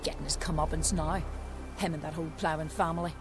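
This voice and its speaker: An actress playing a proud, scorned village herbalist in a fantasy game, vengeful and scornful, with rustic old-fashioned speech. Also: female voice, low-pitched, fairly even intonation, quick pace, over music, lightly acted. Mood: bitter, vengeful. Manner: rustic, archaic wording, scornful.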